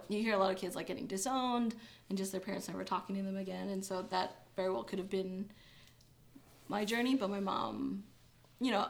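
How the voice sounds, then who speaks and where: Young woman, casual interview guest, chatty, filler-heavy, laughs easily. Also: mid-range voice. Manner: chatty, many fillers, laughs when uncomfortable.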